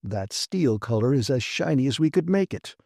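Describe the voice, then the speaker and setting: A man voicing a gruff, blunt and defiant male maintenance chief in an audiobook, arguing emphatically. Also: mid-range voice, expressive, moderate pace, a little mellow, no room sound, studio quality. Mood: growling, irritated. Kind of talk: dramatised audiobook reading.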